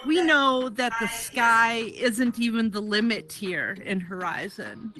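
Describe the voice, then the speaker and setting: A woman's voice: a little high, a crowd in the background, rough recording. A woman hosting an event, introducing speakers in an encouraging way. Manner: warm, encouraging, unhurried. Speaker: event host.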